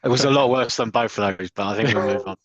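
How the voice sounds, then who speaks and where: A man's voice: mid-range voice, moderate pace, muffled recording, crowd noise. A man co-hosting a podcast in casual, joking banter, readily agreeing. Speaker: podcast co-host.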